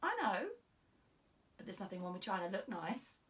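A woman's voice: medium pitch, very animated, moderate pace, a bit bright, recorded from a distance. A woman voicing a chirpy, eager, chatty young female police constable in an audiobook, with a breezy, informal, encouraging manner. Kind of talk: audiobook character voice.

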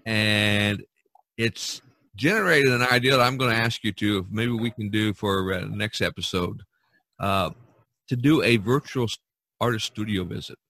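A man hosting a podcast over a video call, his low voice carrying a bright, forceful edge. He rambles through casual chat, full of fillers and restarts, and the sound is dry and close. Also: normal speed, no echo. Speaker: podcast host.

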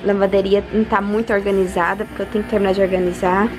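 A woman's voice: mid-range voice, little pitch movement, racing, noisy background. Chatty young woman vlogging her home. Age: young adult. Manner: chatty, addresses viewers directly. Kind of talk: casual home tour vlog. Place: house and yard, in and out of doors. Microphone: phone held at arm's length. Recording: music playing underneath.

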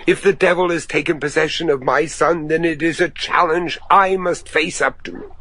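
A man's voice: a little high, steady tone, moderate pace, a bit bright, no room sound. An actor playing a devout, anguished older father, a judge desperate to save his son, pleading earnestly and theatrically in a gothic horror radio drama. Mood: defiant. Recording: noisy radio drama recording.

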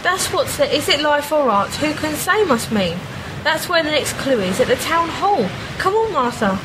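A woman's voice: high-pitched, big pitch swings, moderate pace, noisy background. A woman playing a worried but determined amateur detective in a radio play, earnest and polite.

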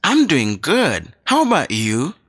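A man reads a language textbook aloud, his pitch leaping up and down in a sing-song way. He speaks slowly and clearly for learners. The recording is close and dry, with no room sound. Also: huge pitch swings, fairly bright tone, dry recording. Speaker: textbook audio reader. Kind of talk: scripted dialogue reading for learners.

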